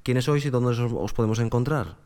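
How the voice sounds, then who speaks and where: A man with a Spain Spanish accent, hosting a podcast call in a relaxed, friendly way. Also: medium pitch, a little fast. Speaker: podcast host. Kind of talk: casual chat.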